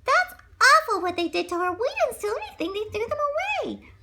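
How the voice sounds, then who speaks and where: A woman's voice: very high-pitched, big pitch swings, a little fast, vocal fry, bright, forceful tone, voice pushed up, full character voice. A woman voicing small, loyal mice friends in a read-aloud storybook. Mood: urgent squeaky.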